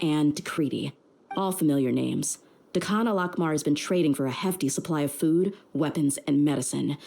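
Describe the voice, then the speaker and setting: A woman's voice: sits low, darkish tone. A woman voicing a tough, confident young female mercenary with a blunt, streetwise manner in a video game. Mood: calm, businesslike. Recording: music behind the voice. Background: game music.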